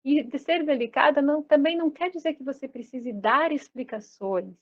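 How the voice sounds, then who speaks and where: A woman hosting a live conversation, speaking gently and reflectively, often checking in with "né?". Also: sits high, moderate pace, lo-fi sound. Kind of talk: casual chat.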